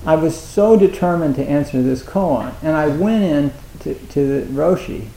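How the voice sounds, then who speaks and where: A man giving a dharma talk as a Zen teacher, speaking haltingly with many restarts and a wry, self-mocking humor. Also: fairly high pitch, lively intonation, creaky, warm tone, echoey room, poor audio. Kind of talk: dharma talk at a meditation retreat.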